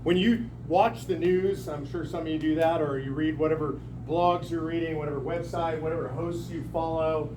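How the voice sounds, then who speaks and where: Loud projecting man in an echoey hall. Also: a little high, steady tone, normal speed, few pauses, noisy background. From lives in Texas. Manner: loud, projecting, folksy and emphatic. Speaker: political speaker at a town hall. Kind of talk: rousing public speech. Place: packed town hall room. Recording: echoey hall recorded from a distance.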